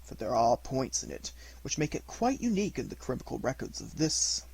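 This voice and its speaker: A man voicing a self-assured, mischievous Victorian gentleman detective in an audiobook, with measured, formal phrasing. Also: medium pitch, big pitch swings, gravelly, husky, exaggerated voice. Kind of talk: audiobook character reading.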